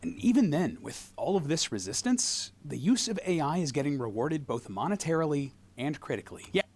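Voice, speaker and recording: Lively, wry male narrator. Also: a little high, a little fast. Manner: wry, sarcastic asides. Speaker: video essay narrator. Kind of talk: scripted narration.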